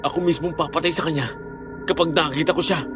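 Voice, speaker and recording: A man's voice: on the high side, fairly even intonation, fast talker, lightly acted. An actor playing a protective, determined young boyfriend in an audio horror drama, firm in his manner. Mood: angry resolve. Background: music.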